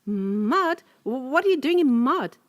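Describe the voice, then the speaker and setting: A woman's voice: high voice, very animated, measured pace, dry recording, clean studio sound. A woman playing a polite, easily embarrassed client, earnest and hesitant, in a comedy drama. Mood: puzzled, polite. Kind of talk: comedy audio drama dialogue.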